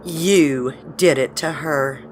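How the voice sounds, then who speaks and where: Woman acting a cruel, slow-talking madam. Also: low voice, soft background music, rough recording. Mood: taunting. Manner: drawn-out, coy and commanding. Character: cruel, vain, taunting madam who rules over a young man. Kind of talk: dramatized horror audio story.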